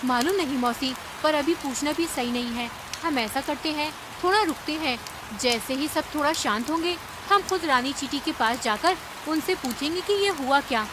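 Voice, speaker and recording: Woman voicing a high-pitched, caring young cartoon bird. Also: normal speed, noisy background, close to an everyday voice. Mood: cautious. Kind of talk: Hindi animated cartoon story acting.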